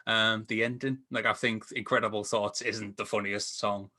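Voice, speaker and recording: A man chatting casually as a film review panellist, in a halting way peppered with "like". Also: fairly low pitch, flat delivery, sharp tone.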